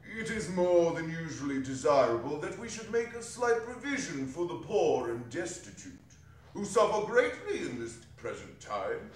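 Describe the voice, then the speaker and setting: Man playing formal charity gentleman, lively pitch, echoey. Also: moderate pace. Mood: courteous, formal. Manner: formal, courteous, earnest. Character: polite, portly gentleman collecting for charity. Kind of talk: dramatic stage reading of a classic story. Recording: distant, echoey, noisy hall recording.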